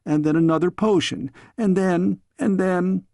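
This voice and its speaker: A man's voice: sits high, a little slow, vocal fry, a little mellow, no echo, clean studio sound, pitched above the performer's own voice. A man voicing a dramatic, petulant girl princess who plays at being an evil witch, in a storybook reading. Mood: pleading, flustered. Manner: crying out spells, muttering crossly.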